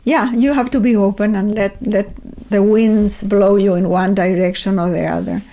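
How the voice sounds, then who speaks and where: An older woman from Chile, a native Spanish speaker with a Chilean accent, speaking thoughtfully and earnestly as a guest author in conversation, with a touch of gentle humor. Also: mid-range voice, steady tone, moderate pace. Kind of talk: reflective conversation.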